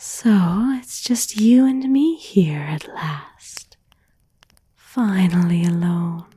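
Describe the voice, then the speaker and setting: ASMR roleplay: actress as obsessive girlfriend, sweetly threatening, soft dark whisper with heavy breath, lingering over each phrase. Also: fairly low pitch, slightly rough, no echo, full character voice. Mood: possessive tenderness. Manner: slow, intimate, lingering phrasing with endearments. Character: obsessive, possessive yandere girlfriend, sweetly menacing. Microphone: close, dry ASMR microphone.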